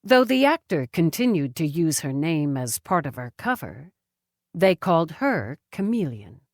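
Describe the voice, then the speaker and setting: Woman reading a serious novel, real slow n dramatic, kinda low voice. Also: sing-song, a little mellow, no room sound, clean studio sound. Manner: measured, grave, dramatic delivery. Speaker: audiobook narrator. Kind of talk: scripted narration of a novel.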